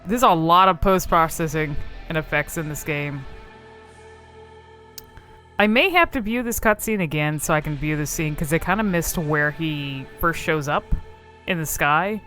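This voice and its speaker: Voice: medium pitch, lively intonation, a little slow, sharp tone, faint music behind. A video creator giving casual, informal commentary on a game, exploring and thinking aloud.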